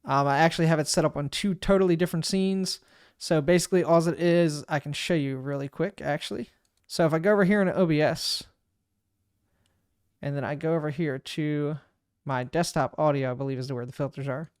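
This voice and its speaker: A man casually walking through a streaming software setup, thinking aloud and narrating each click as he goes. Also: a little high, fairly quick, clean tone, no echo, studio quality. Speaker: streamer giving a software tutorial. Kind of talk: casual screen-recorded walkthrough. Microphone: desk microphone.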